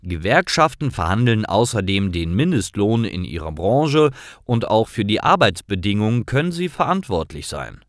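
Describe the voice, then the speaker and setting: A man's voice: fairly low pitch, very animated, normal speed, dry recording, studio quality. A man voicing a patient, friendly male personnel officer who explains things courteously in a language-learning story. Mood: patient, explanatory.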